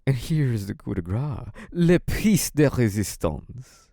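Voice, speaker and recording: A man's voice: medium pitch, sing-song, slow, gravelly, dark tone, dry recording, studio quality, full character voice. A man playing a smug, menacing, possessive young mafia boss in an intimate audio roleplay, taunting in a theatrical, mock-sweet way. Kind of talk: scripted audio roleplay, intimate monologue to one listener.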